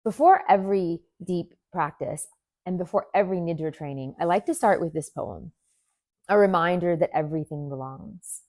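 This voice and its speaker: A woman teaching yoga nidra, leading a guided meditation in a gentle, soothing manner with deliberate pauses. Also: on the low side, dry recording.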